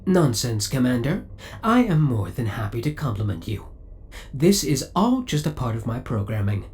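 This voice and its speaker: Spaceship AI , played by a man: formal, courteous, politely obsessive, with animated pitch swings, for an ASMR roleplay. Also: moderate pace, faint music behind, natural voice. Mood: politely defensive. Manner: formal, courteous, precise servant-like delivery. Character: devoted, possessive male spaceship AI, politely obsessive.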